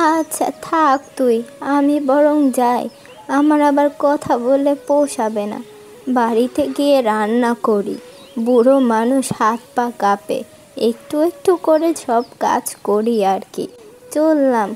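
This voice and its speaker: A woman's voice: high-pitched, a little mellow, a little hiss or hum. A woman voicing an old, hardworking village aunt in a cartoon, chatty and plaintive. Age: older. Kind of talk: Bengali moral-story cartoon dialogue.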